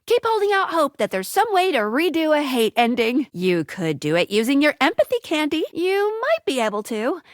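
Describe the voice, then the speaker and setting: High, perky female game guide. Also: very animated, normal speed, hardly any pauses, no room sound, studio quality. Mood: apologetic, encouraging. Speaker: video game voice actor. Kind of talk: dating sim game dialogue.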